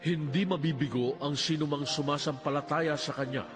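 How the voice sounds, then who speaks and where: A man voicing God and the prophets in dramatized scripture, solemn, deliberate and declamatory. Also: a little high, steady tone, lightly acted. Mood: solemn, assuring. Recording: music under the voice. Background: background music.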